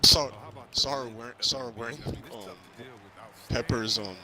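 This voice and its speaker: A man's voice: mid-range voice, measured pace, background noise. A young man chatting casually about a movie, stumbling and rambling through his stories in slangy speech. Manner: rambling, stammering storytelling with slang. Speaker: co-host of a movie review chat. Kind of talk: casual spoiler-filled movie chat.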